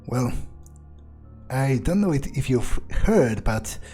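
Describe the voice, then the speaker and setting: A man voicing an arrogant, brooding vampire in a game playthrough, musing aloud in a halting, profane way. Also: mid-range voice, expressive, dark tone, husky, faint music behind. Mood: haughty. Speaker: gaming YouTuber. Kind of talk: in-character game playthrough monologue, thinking aloud.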